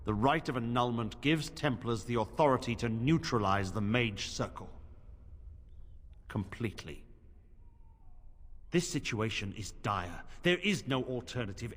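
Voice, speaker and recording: Stern templar commander in an echoing hall. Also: male voice, medium pitch, lively intonation, fairly bright tone. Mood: grave, stern. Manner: grave, formal, deliberate. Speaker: game character voice actor. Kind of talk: fantasy video game dialogue. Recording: reverberant stone hall, music underneath.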